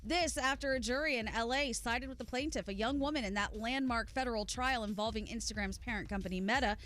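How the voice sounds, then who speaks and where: A woman reading headline news on a radio morning show in a crisp, wry delivery. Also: a little high, fairly quick, a bit bright. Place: radio studio.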